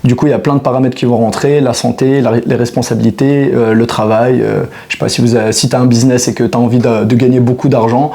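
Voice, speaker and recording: A man's voice: medium pitch, level tone, quick pace, vocal fry, hardly any pauses, mellow. A man in his thirties, a fitness coach, talking reflectively to camera in a casual monologue, full of hesitations and restarts. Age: 30s.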